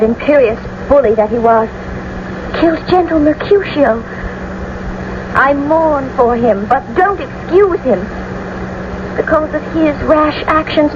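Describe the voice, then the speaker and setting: An actress playing a passionate, defiant young bride in a theatrical radio drama on an old broadcast recording. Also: female voice, high-pitched, dull audio, background noise.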